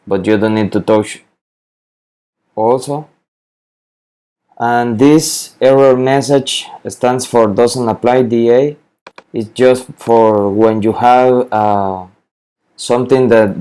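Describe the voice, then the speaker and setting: A man talks through a software tutorial at an unhurried pace, stumbling and repeating words as he searches for them. His voice is low and mellow. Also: smooth. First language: Spanish. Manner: halting, repeats words, many fillers. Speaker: spreadsheet tutorial maker. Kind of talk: screen-recorded software walkthrough.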